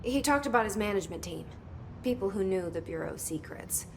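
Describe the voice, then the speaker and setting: A woman's voice: mid-range voice, expressive, brisk, a little mellow, slight background noise, natural voice. A woman playing a guarded, searching new agency director in a video game, speaking tersely and introspectively. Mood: probing.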